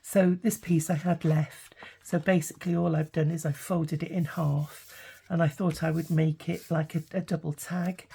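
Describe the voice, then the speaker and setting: A woman with a cold, croaky from a sore throat, chatting through a craft tutorial with lots of ums and restarts. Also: on the low side, mellow, a little hiss or hum. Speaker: craft vlogger. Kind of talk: casual craft tutorial chat.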